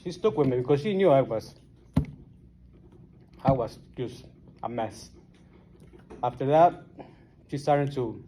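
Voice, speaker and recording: Guatemalan-accented man, mellow halting talk. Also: fairly high pitch, a little hiss or hum. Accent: Guatemalan Spanish. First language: Spanish. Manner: halting, plain-spoken, restarts often. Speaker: AA meeting speaker. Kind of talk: personal recovery story.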